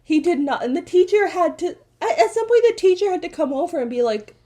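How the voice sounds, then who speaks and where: A young woman co-hosting a podcast, chatting casually with quick asides and self-corrections. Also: very high-pitched, animated, dark tone. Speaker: podcast co-host. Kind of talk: casual chat.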